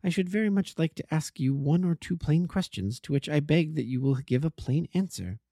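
A man voicing an anxious, earnest Victorian wife, raising his voice high and pleading. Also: normal speed, warm tone, no echo, studio quality.

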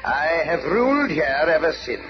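A pompous villain pharaoh. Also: male voice, on the high side, big pitch swings, a little slow. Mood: boastful. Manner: pompous, boastful monologue. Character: grandiose male villain pharaoh, time traveler. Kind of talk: 1960s cartoon voice acting. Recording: dull lo-fi old broadcast with music.

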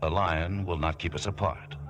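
An actor playing a proud, mighty strongman with a calm, self-assured manner in a 1950s radio drama. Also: normal speed, muffled recording. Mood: tender amusement. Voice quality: low-pitched. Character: mighty, proud, unflinching young Danite strongman. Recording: 1950s radio broadcast with music.

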